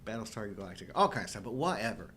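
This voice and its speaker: Middle aged guy chatting on a livestream, really up and down voice, jokey and wry, some rumbly noise in the background. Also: big pitch swings, racing, a bit bright. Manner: playful, wry joking. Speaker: co-host of a live show. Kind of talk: casual banter.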